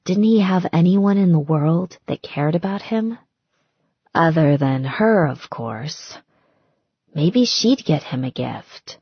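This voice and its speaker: A woman reading a novel aloud as an audiobook narrator, expressive and scripted. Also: low-pitched, takes it slowly, slightly rough, dry recording, highs cut off.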